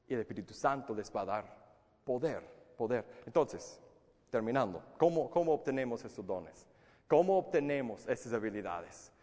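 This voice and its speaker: A man's voice: medium pitch, a little mellow, long reverb tail. A man preaching a sermon in Spanish, a language he learned as an adult, earnest and emphatic, often repeating words and restarting phrases. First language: English. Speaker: pastor.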